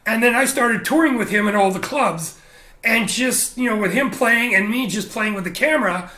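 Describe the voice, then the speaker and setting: Brief: middle-aged man from Canada, chatty guest, animated storyteller, forceful tone. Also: very high voice, echoey room. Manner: animated, laughing often, quick asides. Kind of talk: casual storytelling interview.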